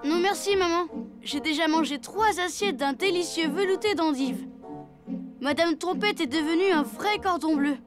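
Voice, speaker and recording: A woman's voice: very high voice, normal speed, over music. A woman voicing a polite, eager cartoon boy.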